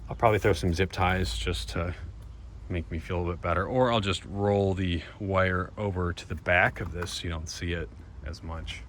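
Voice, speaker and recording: A man with a fairly low voice talks informally to his viewers in the style of a DIY car vlog. He thinks aloud as he works, keeping it casual. There is some light noise behind him. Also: fairly even intonation, moderate pace, fairly bright tone. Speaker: DIY car vlogger and host. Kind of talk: casual hands-on narration to viewers while working. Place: in and around a van.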